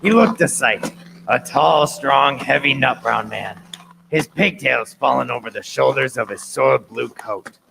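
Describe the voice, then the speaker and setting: A man's voice: medium pitch, measured pace, creaky, noisy background. A man playing an earnest grown-up storyteller who recalls his boyhood in scripted, dramatic radio play narration. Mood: descriptive.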